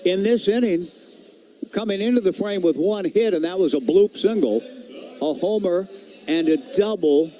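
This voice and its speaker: Mellow-voiced American baseball play-by-play man. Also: high-pitched, moderate pace. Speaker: play-by-play radio announcer. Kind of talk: live baseball commentary. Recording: live broadcast with clear background noise.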